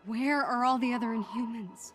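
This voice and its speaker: Woman as high-voiced, earnest teen superhero girl. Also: normal speed, fairly bright tone, faint music behind, close to an everyday voice. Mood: earnest, troubled. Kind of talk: video game cutscene acting.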